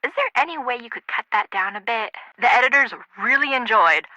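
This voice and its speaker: Sharp chirpy woman on answering machine. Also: a little high, expressive, a little fast, dry recording. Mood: chirpy, businesslike. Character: chirpy, tactful female publishing house editor on answering machine. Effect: telephone answering machine filter. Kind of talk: video game voice acting, phone message. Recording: dull, dry telephone message sound.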